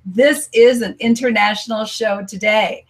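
A woman's voice: mid-range voice, steady tone, a little slow. An American woman hosting a live stream interview show, upbeat and promotional. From United States. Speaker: host.

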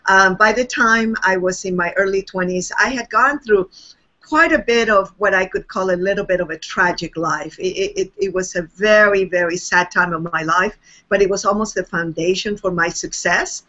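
Female guest from Chile, reflective personal storytelling, mid-pitched, dull lo-fi call audio. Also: normal speed, sharp tone. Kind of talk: interview storytelling.

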